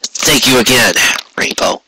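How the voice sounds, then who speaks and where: A man's voice: mid-range voice, measured pace, noisy background, natural voice. A man voicing a weary, wounded male pony guard captain, proud and dutiful, speaking in a tired, firm and terse way. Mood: tired, friendly.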